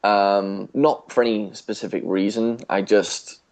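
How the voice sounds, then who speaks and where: Low-pitched man co-hosting a casual podcast. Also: fairly even intonation, unhurried, darkish tone. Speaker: podcast co-host. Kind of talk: casual podcast chat about a TV series.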